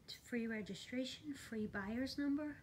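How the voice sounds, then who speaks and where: Higher-voiced woman narrating a casual walk-through. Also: a little slow, poor audio. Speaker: auction house presenter. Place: large furniture warehouse, indoors. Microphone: handheld phone camera, speaker behind it. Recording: handheld phone video, clear warehouse background noise.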